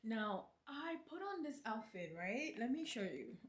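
A woman in her twenties vlogging casually at home, talking to viewers with frequent laughs and animated asides, filmed on a handheld camera. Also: fairly high pitch, measured pace, roomy sound, slight background noise. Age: 20s. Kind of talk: casual vlog chat. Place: small apartment kitchen and bedroom indoors. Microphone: handheld camera at arm's length.

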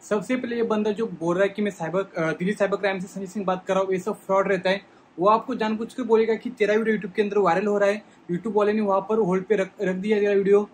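A man's voice: high-pitched, slight background noise. A young man explaining informally and emphatically to viewers, repeating points, recorded in a small room. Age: young adult. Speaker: vlogger warning about scams. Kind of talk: explanatory talk to camera.

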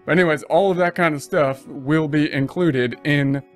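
A man's voice: fairly high pitch, normal speed, soft background music. A man teaching accounting in a casual voice-over lecture, talking it through and restarting phrases as he goes. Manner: conversational, restarts mid-phrase, thinks aloud. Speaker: accounting instructor.

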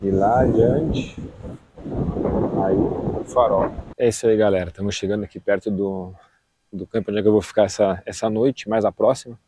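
A man's voice: on the low side, steady tone, normal speed, heavy background noise. A man in his thirties with a southern Brazilian accent, chatting casually and slangily to the camera outdoors as a travel vlogger. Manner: slangy, informal. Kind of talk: casual vlog chat. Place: outdoors on an open beach and dunes. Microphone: action camera at arm's length.

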